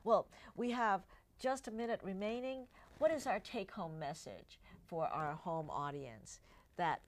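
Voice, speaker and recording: A woman's voice: mid-range voice, measured pace. A middle-aged woman whose first language is Cantonese, hosting a health talk show and chatting with her guests, often asking them to agree. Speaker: eye doctor and show host. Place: TV studio.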